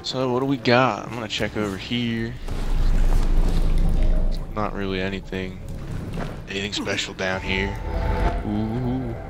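Guy with lowish voice playing a game w a buddy, jokey, swears, game music behind. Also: level tone, unhurried, long pauses. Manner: joking, swears freely. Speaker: gaming channel player. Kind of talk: casual co-op gameplay commentary. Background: video game music and sound effects.

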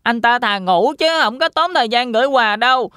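A woman's voice: fairly high pitch, expressive, a little fast, pushed and bright, clean tone, no echo, clean studio sound. A woman voicing a carefree, dismissive young male pig, the middle brother, in a children's fairy tale cartoon.

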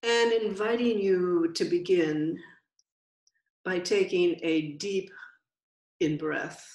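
Woman, meditation teacher, very slow pace, gentle, long pauses, guiding instructions, echoey room sound. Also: lowish voice. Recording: roomy, echoing sound.

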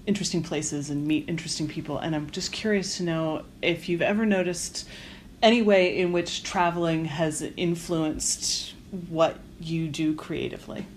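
A woman's voice: deep voice, moderate pace, slight background noise. A woman hosting a conversational interview, asking her questions informally. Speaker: interviewer, podcast host.

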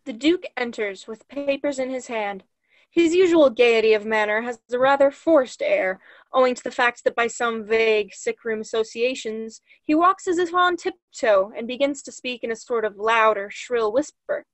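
Young woman, higher voice, scripted narration. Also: normal speed, no echo. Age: young adult. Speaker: stage directions reader. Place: small room at home, video call.

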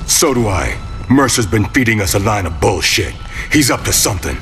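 An actor playing an angry, foul-mouthed, vengeful soldier in a video game, gruff and blunt. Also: male voice, lowish voice, sing-song, a little fast, fairly bright tone, soft background music. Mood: bitter. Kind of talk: video game cutscene acting.

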